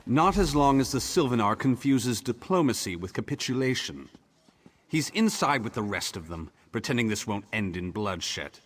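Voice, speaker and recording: A man's voice: medium pitch, sing-song, normal speed. An actor playing a hot-headed, bitter male elf soldier in a video game, with a scornful, biting manner. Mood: scornful, angry. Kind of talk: video game character dialogue.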